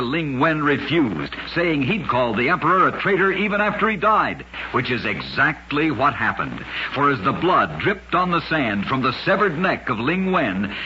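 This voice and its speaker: A man narrating scripted curious true tales for radio in a measured, dramatic announcer style. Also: mid-range voice, bright, forceful tone. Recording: dull lo-fi radio recording, faint noise.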